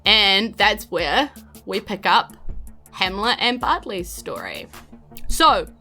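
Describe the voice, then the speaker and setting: A woman's voice: medium pitch, big pitch swings, slow, bright, forceful tone, soft background music. A woman with a New Zealand accent narrating a tabletop role-playing podcast as game master, with playful, theatrical storytelling.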